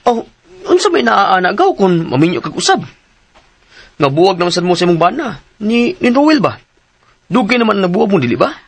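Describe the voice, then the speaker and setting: A man's voice: high-pitched, very animated, a little fast, vocal fry, long pauses, exaggerated voice. An actor playing an inquisitive, easily astonished male cousin in radio drama, exclaiming and questioning with a Cebuano accent. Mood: curious, surprised. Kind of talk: Philippine radio drama acting.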